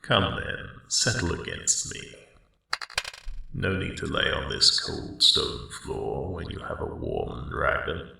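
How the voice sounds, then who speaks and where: A man voicing a friendly old dragon in an ASMR roleplay, speaking gently and drowsily in a rambling, unhurried way. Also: animated, full character voice. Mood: warm, caring. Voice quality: very deep, gravelly. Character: friendly old male dragon, gentle sleepy cave guardian. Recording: very echoey cave-like sound, slightly distant.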